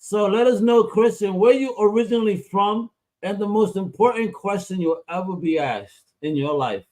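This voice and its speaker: A man hosting a podcast in a hype, energetic, slangy way. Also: high voice, moderate pace, low-quality recording. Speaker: podcast host. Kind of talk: casual chat.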